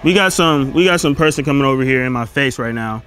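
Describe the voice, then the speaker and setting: A man's voice: mid-range voice, fast talker, fairly bright tone, faint music behind. A young man who hosts prank videos, talking in casual, slangy, taunting and repetitive banter. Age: young adult. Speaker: prank show host. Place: large hardware store and its car park. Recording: noisy store and outdoor location.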